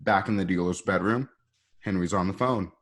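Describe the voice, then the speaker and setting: A man's voice: sits low, moderate pace, fairly bright tone. A man narrating scene directions for an amateur script read, informal and conversational. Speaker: narrator reading stage directions.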